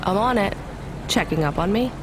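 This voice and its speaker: A woman's voice: medium pitch, animated, normal speed, natural voice. Woman acting a wary young delivery driver. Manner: questioning, direct. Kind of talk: video game voice acting. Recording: faint vehicle noise behind.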